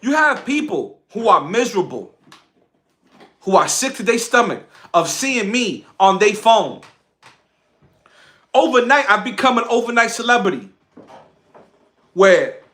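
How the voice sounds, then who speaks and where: High-voiced man, bright and forceful. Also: very animated, a little slow, pauses often. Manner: emphatic, repeats phrases for effect. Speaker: social media content creator on a livestream. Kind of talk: impassioned livestream monologue to followers.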